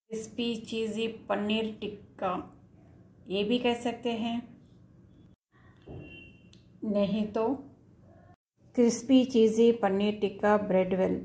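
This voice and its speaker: A woman walks viewers through a snack recipe in her home kitchen, telling them what to do at each step. Her voice is warm and mellow and stays fairly level in pitch. A faint household hum sits under the recording. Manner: instructive, addresses viewers directly. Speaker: cooking channel host.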